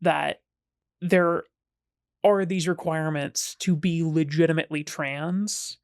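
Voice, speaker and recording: A woman talking as a podcast guest, casual and self-deprecating, sometimes jumping up in pitch when she jokes. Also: steady tone, measured pace, darkish tone, no room sound, clean studio sound. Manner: chatty and self-deprecating, with sudden playful jumps in pitch.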